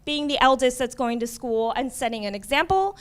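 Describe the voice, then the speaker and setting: A young woman from Miami presents at a conference in a relaxed, conversational way, dropping wry asides as she goes. Her voice sits high and comes through smooth and clear. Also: a little fast. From Miami, USA. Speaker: community college librarian.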